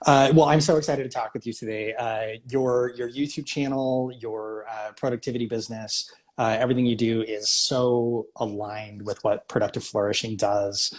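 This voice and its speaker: A man's voice: mid-range voice, steady tone, normal speed, few pauses. A man hosting a live video call interview, eager and hesitant, asking questions with many "uh"s. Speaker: host. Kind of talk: casual interview chat.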